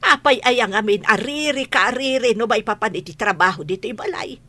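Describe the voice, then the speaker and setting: Woman as village mother, middle-aged, husky, slightly low, bright edge, nagging, weary, radio drama. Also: fairly quick. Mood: scolding. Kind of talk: radio comedy drama acting.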